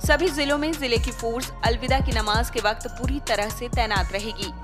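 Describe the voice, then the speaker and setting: High-voiced woman reading news over music. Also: steady tone, moderate pace. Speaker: news anchor reading a report. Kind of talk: scripted news narration. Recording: music playing behind the voice.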